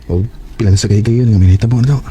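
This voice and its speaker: A man's voice: on the low side, rapid-fire, mellow, slight background noise, close to an everyday voice. A man playing a lovelorn, earnest young working man in a Filipino radio drama, with a Filipino accent and a plaintive, emotional delivery. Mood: smitten.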